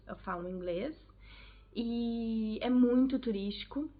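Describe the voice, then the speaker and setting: A woman from Brazil with a Brazilian Portuguese accent, giving chatty, direct advice to her viewers in a casual vlog. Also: medium pitch. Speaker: vlogger, English teacher. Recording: tinny phone-like sound.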